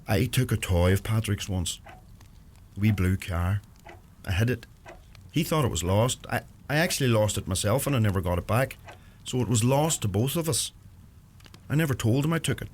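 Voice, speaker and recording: A young farmer with a Donegal Irish accent , played by a man in a radio play, speaks in a fairly low voice. He is earnest, brooding and plain in his words, a man torn by guilt. Clear ambient noise sits behind him. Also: normal speed, pauses often, darkish tone, lightly acted. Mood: guilty, troubled.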